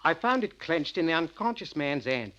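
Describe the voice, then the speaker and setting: A man's voice: fairly high pitch, big pitch swings, moderate pace, sharp tone, a little hiss or hum. A man playing a dutiful, respectful British chief petty officer, reporting in clipped, formal 1940s radio drama style. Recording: dull vintage radio recording.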